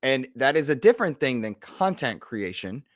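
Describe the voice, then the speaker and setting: A man co-hosting a gaming podcast in a casual, animated chat with emphatic asides. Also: medium pitch, normal speed, no room sound, studio quality. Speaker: podcast co-host.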